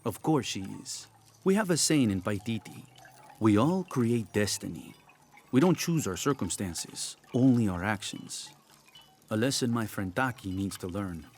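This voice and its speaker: A sage, friendly townsman in a game, low voice, quoting sayings. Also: animated, unhurried, a little hiss or hum. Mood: genial. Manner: warm, sage, proverb-quoting. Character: wise, genial man from a hidden city. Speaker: townsman sharing proverbs. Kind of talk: video game cutscene dialogue.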